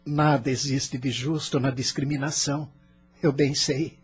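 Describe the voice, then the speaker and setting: A man's voice: medium pitch, little pitch movement, unhurried, slightly rough, soft background music. An actor with a Brazilian accent playing an embittered, stubborn immigrant man who argues with emphatic self-pity in an audio novel. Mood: resentful arguing. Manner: argumentative, self-pitying, emphatic. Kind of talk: dramatized audio novel dialogue.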